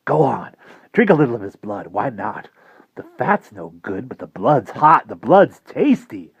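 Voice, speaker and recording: A man voicing a wiry little wicked creature from a storybook, tempting and sly, hissing his words and slipping into a sing-song chant. Pitched a bit above the reader's own low voice, with a dull, dry lo-fi sound. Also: huge pitch swings, a little slow, darkish tone, breathy edge, dry recording, heavily acted. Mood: sly coaxing. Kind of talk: audiobook character voice.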